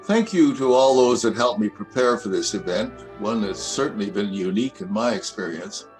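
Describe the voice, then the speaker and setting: An older man in his seventies reading his own poems aloud, with measured, expressive recitation. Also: fairly low pitch, soft background music, low-quality recording. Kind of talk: poetry reading at an event.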